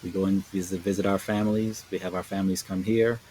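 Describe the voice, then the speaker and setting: A man from New York speaking as a podcast guest in a relaxed, earnest way, repeating words and checking in with tag questions. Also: sits low, fast, a little hiss or hum. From New York, USA. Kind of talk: conversational interview.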